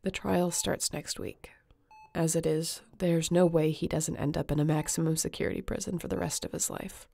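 A woman playing an earnest, emotional space captain in a sci-fi audio roleplay, tender and halting. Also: deep voice, flat delivery, creaky, dark tone. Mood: gentle, reassuring. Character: woman space captain, tender, guilt-ridden, devoted to her crewmate.

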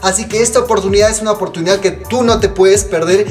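A young man giving a promotional pitch straight to camera as a channel host. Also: steady tone, fast, darkish tone, far from the mic. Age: young adult. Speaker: YouTube channel host and recruiter. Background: hip hop music.

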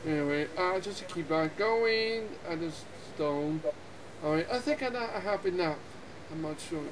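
A young man hosting a multiplayer game session, excitable and quick to laugh, talking over his friends on a voice call. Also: high-pitched, noisy background. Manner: excitable, laughs often, talks over others. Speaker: gameplay channel host. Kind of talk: casual multiplayer gaming chat.

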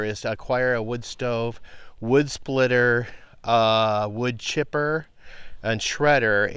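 A man in his fifties explaining things casually to the camera outdoors, in a halting, thinking-aloud way. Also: mid-range voice, a bit bright, clear, resonant tone, dry recording. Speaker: homestead vlogger. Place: outdoors in a grassy rural yard.

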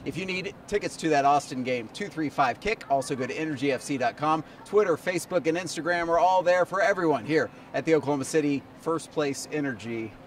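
A man's voice: fairly high pitch, brisk, few pauses. A man in his thirties presenting a sports recap to camera on a handheld microphone outdoors. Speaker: team reporter and presenter. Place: outdoors in an empty stadium at night. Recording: distant-sounding mic, faint outdoor noise.

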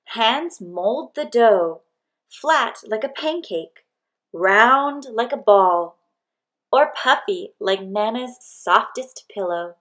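A woman narrating a picture book aloud expressively, with deliberate phrasing and pauses between short phrases. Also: on the high side, lively intonation, a bit bright.

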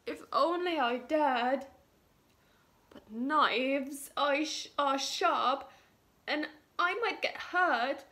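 A woman's voice: pushed and bright, exaggerated voice. A woman voicing a timid, easily frightened male cook in a children's storybook, with a shaky, quavering voice. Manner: shaky, high and quavering with fear.

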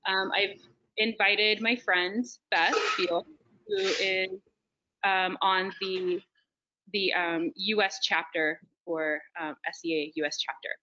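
A woman's voice: medium pitch, fairly even intonation, takes it slowly, highs cut off, background noise. A woman co-hosting a video panel, speaking casually with frequent fillers. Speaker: panel co-host.

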